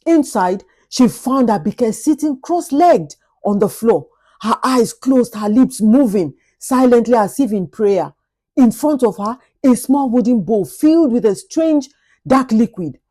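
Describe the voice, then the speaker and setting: Female storyteller, mellow tone, expressive and suspenseful, for dramatic folktale narration. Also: fairly high pitch, a little slow.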